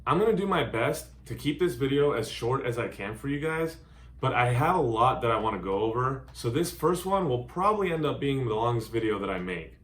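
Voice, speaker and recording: A man's voice: medium pitch, animated, brisk, a bit bright. A man in his thirties, born in Hungary, giving an earnest, carefully worded introduction of himself as a DJ and music producer. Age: 30s. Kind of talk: scripted self-introduction to camera. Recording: roomy echo.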